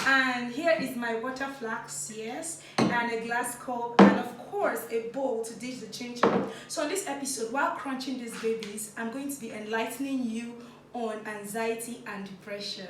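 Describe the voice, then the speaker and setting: A woman's voice: fairly high pitch, normal speed, recorded from a distance, very noisy. Chatty woman in an echoey room. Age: 20s. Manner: chatty, giggly, talks between crunchy bites. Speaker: vlog host. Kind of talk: casual talk while eating on camera. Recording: echoey room, set back from the mic.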